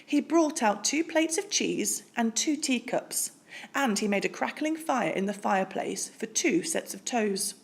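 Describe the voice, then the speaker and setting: Expressive, unhurried woman storyteller. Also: a little high, gravelly. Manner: deliberate, dramatic phrasing. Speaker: storybook reader. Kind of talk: expressive scripted narration for children.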